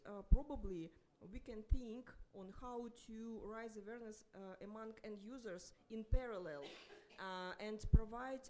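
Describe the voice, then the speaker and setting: A woman chairs and adds to a conference panel discussion. Her voice is warm and mellow. She hesitates and repeats words while looking for the right phrasing, with a soft noise in the background. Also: mid-range voice. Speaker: panelist and moderator.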